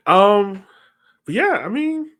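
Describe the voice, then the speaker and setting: A man's voice: sits high, very animated, slow pace, a bit bright. An American man in his thirties chats casually and easygoing as a sports podcast host on a video call. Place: home room, indoors, on a video call.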